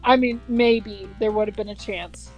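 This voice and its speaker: A woman's voice: medium pitch, fairly even intonation, a little slow, faint music behind. A young woman reading a story aloud with casual, sweary, mock-aggrieved commentary. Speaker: co-host of a dramatic reading.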